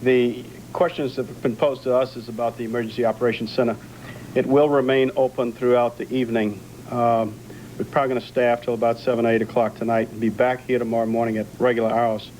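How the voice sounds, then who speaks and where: A man's voice: mid-range voice, level tone, fast talker, darkish tone, rough recording. A man speaking as an emergency official, giving a matter-of-fact but hesitant briefing update. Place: press briefing at emergency operations center. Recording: faint noise, archived TV broadcast tape.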